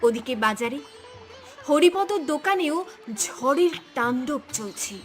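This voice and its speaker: High-pitched female story narrator. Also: animated, unhurried, soft background music. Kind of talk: dramatic scripted story narration.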